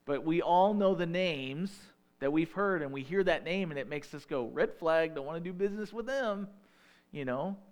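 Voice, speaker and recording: Man, pastor, Northern Illinois accent, slightly high voice, chatty sermon. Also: expressive, moderate pace. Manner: conversational, folksy asides.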